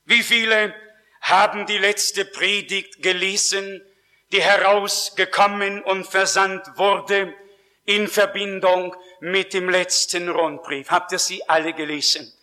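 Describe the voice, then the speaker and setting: A male preacher delivers his sermon at an unhurried pace, leaning hard on key words. His voice sits high for a man and has a bright, forceful edge. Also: steady tone. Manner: slow, emphatic preaching with rising stresses. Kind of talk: sermon with personal testimony.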